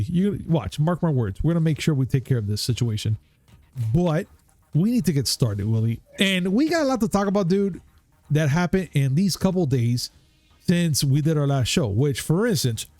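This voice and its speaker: A man's voice: on the high side, darkish tone, no echo. Upbeat man hosting a gaming show. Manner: hyped, laid-back banter. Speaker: podcast host. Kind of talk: casual gaming news chat.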